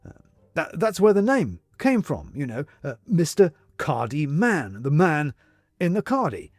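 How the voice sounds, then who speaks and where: A man playing a flustered, self-justifying middle-class man in a storybook, stammering and haltingly repeating his words. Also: a little high, wildly swooping pitch, dry recording, studio quality, faint music behind, heavily acted. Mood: flustered recollection.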